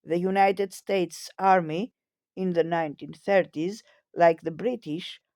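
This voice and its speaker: A woman narrates in a slow, measured documentary style. Her tone is soft-edged and mellow, at a middle pitch for a woman. The delivery is formal and scripted, and the sound is close and dry. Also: steady tone, dry recording. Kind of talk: scripted documentary narration, reading a history text.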